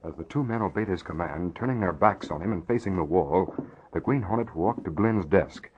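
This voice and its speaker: A man's voice: low-pitched, lively intonation, a little fast, a little mellow. A man narrating a scripted radio adventure drama in an old-time broadcast style. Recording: dull old radio recording, faint noise.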